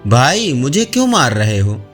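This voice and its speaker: A man's voice: mid-range voice, sing-song, a little slow, fairly bright tone, soft background music, voice pushed down. A man voicing a greedy, gullible male snake in a storybook tale, with an eager, questioning manner. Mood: pleading.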